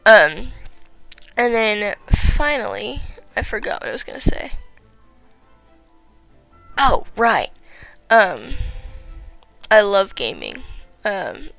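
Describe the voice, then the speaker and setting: Tired raspy teenage girl. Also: mid-range voice, sing-song, takes it slowly, pauses often, a bit bright, no echo, faint music behind. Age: teens. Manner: rambling, filler-heavy, chatty. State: tired, late at night. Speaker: gaming vlogger. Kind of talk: casual game commentary.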